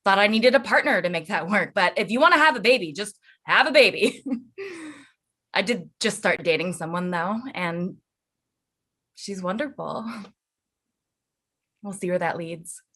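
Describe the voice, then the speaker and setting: A woman's voice: mid-range voice, expressive, a little slow, pauses often, sharp tone. An actress playing a devoted, frazzled new mother, candid and conversational in a staged reading. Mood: smitten.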